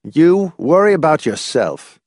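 A man's voice: medium pitch, big pitch swings, moderate pace, no room sound, studio quality, pitched above the performer's own voice. A man voicing a defensive, uneasy character with a mocking edge in an audiobook. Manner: husky, half-apologetic or mocking.

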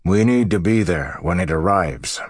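A man's voice: sits low, expressive, normal speed, no echo, studio quality. A man voicing an earnest, plain-spoken farmer who urges a friend with solemn foreboding, in an audiobook. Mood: grave, urgent. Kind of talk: audiobook character dialogue.

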